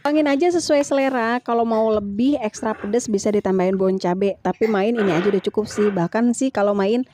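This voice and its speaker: A woman's voice: mid-range voice, a little fast, noisy background. A woman with an Indonesian accent narrating a home vlog as a mother, in a chatty, colloquial way.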